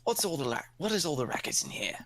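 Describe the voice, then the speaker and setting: A woman's voice: deep voice, very animated, fast, crowd noise. A woman voicing a commanding, dignified alien princess in a formal manner for an amateur fan fiction reading. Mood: annoyed.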